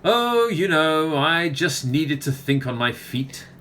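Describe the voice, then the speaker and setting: A man's voice: a little high, very animated, slow, fairly bright tone, full character voice. A man playing a pompous, self-important author with a wordy, theatrical manner in a comic radio play. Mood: smug, anecdotal.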